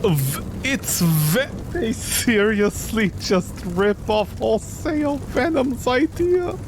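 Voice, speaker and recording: A male game streamer with a fairly high, very animated voice talks in an unhurried way. His commentary is casual, incredulous and sarcastic, with light noise in the background.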